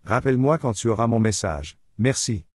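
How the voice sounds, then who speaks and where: A man's voice: mid-range voice, expressive, moderate pace, breathy edge, no echo, clean studio sound. A man playing a courteous boss leaving a scripted work voicemail. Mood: courteous, businesslike.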